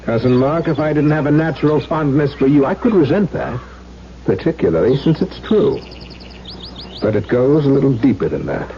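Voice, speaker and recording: A man's voice: mid-range voice, animated, moderate pace, natural voice. A man acting an urbane, skeptical baron in a radio drama, with a formal, teasing, arch manner. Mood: wry, skeptical. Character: urbane, wry baron, skeptical gentleman cousin. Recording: muffled 1970s radio broadcast with noise.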